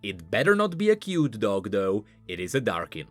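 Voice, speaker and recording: A man's voice: lowish voice, huge pitch swings, moderate pace, soft background music. A man giving scripted commentary on video game lore and voice lines in an emphatic, explanatory way.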